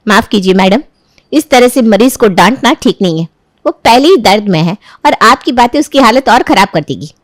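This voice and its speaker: A woman acts a protective hospital nurse in a cartoon tale. She reprimands firmly yet politely in a fairly high voice, recorded close and dry. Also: animated, moderate pace, no echo, close to an everyday voice. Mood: firm, reproving. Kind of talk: cartoon moral-story voice acting.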